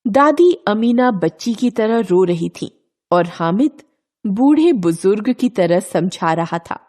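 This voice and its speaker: Woman storyteller reading a kids tale, scripted, nice n slow, medium pitch. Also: animated, warm tone. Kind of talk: scripted children's story narration.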